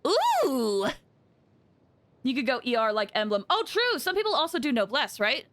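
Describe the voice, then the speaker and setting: An excitable woman livestreams a game in a high, very bright voice. Her delivery swings widely in pitch as she thinks aloud in casual chat, breaking into exclamations as she goes. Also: normal speed. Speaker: game streamer.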